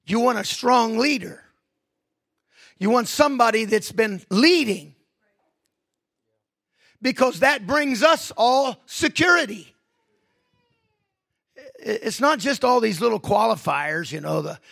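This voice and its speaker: A middle-aged male pastor preaching a sermon, moving from quiet reflection to loud, emphatic points. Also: sits high, creaky, breathy edge, no room sound, clean studio sound.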